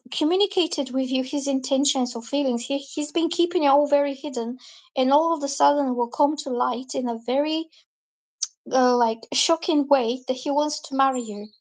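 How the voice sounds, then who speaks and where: High-voiced woman reading tarot. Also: poor audio. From Bulgaria. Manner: chatty, loose and rambling. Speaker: tarot reader. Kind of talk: live-stream tarot reading.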